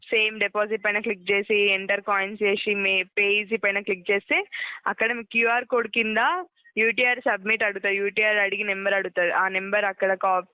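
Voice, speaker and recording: A woman customer care agent speaks quickly over a narrow, tinny phone line. She gives step-by-step instructions, repeats them and checks that the caller follows. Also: mid-range voice, smooth, dry recording. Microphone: telephone call.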